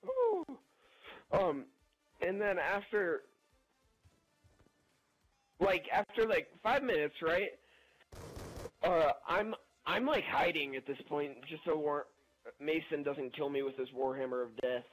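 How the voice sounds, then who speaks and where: A young man with a fairly high, husky voice rambles through a story over gameplay. He is animated and slangy, talking casually as if to friends. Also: takes it slowly, pauses often, faint music behind, low-quality recording. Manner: animated, slangy, chuckling delivery. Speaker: gameplay video narrator.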